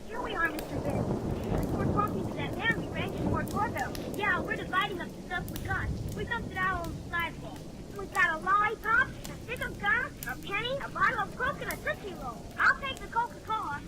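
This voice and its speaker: A woman's voice: extremely high pitch, lively intonation, a little fast, few pauses. A woman playing an eager, chatty young neighbourhood kid with an American accent in 1940s radio comedy. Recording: noisy old 1940s radio recording.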